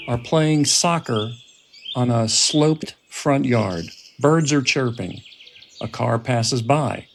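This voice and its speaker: A man narrating screenplay scene directions as scripted narration. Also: mid-range voice. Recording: faint music and birdsong effects behind.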